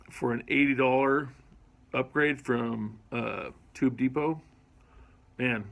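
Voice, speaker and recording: A man's voice: medium pitch, gravelly, fairly bright tone. A man casually reviewing studio gear in his recording studio, rambling and hesitant with lots of ums, recorded on a phone. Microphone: iPhone camera mic.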